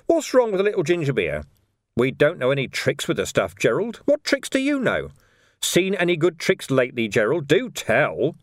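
A man acts out a wily male police commander in an audiobook. His pitch swoops with mock friendliness and sardonic needling, as if toying with a suspect. The sound is close and dry. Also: wildly swooping pitch, moderate pace, no echo. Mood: mock innocent.

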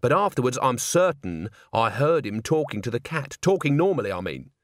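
Earnest, self-doubting young woman played by a male reader, halting and lively in pitch, for a storybook. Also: dry recording, studio quality, close to an everyday voice. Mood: insistent. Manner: hesitant, stammering.

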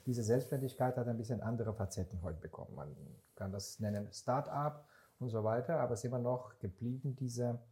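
Man from Israel, earnest co-host, mellow voice, gropes for words. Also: medium pitch, brisk. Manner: searching for words, non-native grammar, earnest and emphatic. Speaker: podcast co-host, investor. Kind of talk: casual podcast conversation.

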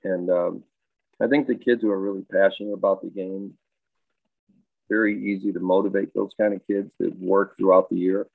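A man who coaches high school baseball and teaches health, answering interview questions in a thoughtful, rambling way with many fillers and restarts. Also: level tone, moderate pace, creaky, no room sound. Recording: dry, tinny phone-like line.

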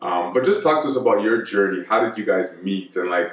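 A man's voice: lowish voice, animated, fairly quick. A young man hosting a podcast, asking his guests questions in an earnest, encouraging way. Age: young adult. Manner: earnest, encouraging, repeats words. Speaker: podcast host. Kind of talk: casual podcast interviewing. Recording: roomy room sound.

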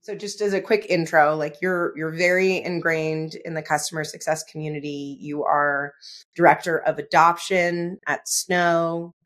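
Low-voiced woman hosting a podcast. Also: moderate pace. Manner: chatty, animated, laughs easily. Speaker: podcast host. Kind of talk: casual interview chat.

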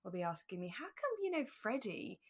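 A young British woman co-hosting a podcast in relaxed, reflective, conversational chat. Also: medium pitch, brisk. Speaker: podcast co-host. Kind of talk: relaxed chat reviewing a radio drama.

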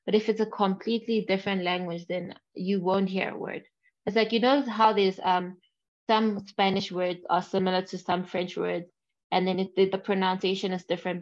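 A woman's voice: mid-range voice, a little mellow. A woman from Congo in a casual conversation, talking animatedly with many restarts and fillers. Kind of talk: casual chat. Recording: dull lo-fi sound.

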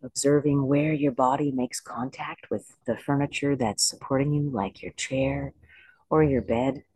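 Meditation teacher guiding slowly, dry close sound. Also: mid-range voice, steady tone, a little mellow, breathy edge, dry recording, low-quality recording. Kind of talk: guided body scan meditation.

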